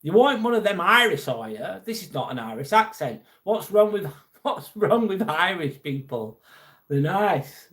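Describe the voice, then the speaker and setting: Chatty English man, a bit high. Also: sing-song, a little slow, a bit bright. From England. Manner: chatty and jokey, mildly irritated by a troll. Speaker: live stream host. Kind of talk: casual live chat reading out comments.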